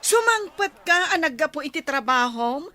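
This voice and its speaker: Woman doing a harried village housewife, really high bright voice, complainy, comedy radio play. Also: big pitch swings, normal speed, full character voice. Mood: exasperated. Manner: exclamatory, complaining. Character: harried, exasperated village housewife who likes gossip. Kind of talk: comedy radio drama acting.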